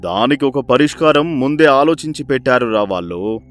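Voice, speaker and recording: A man voicing a greedy, scheming village-head father who commands his son in a sly, domineering way, for an animated story. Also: mid-range voice, normal speed, faint music behind, lightly acted.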